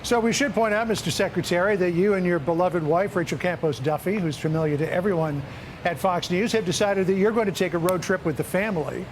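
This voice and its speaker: A man's voice: high voice, fairly quick, slight background noise, rough recording. An American man working as a news anchor, setting up and asking questions in a broadcast interview.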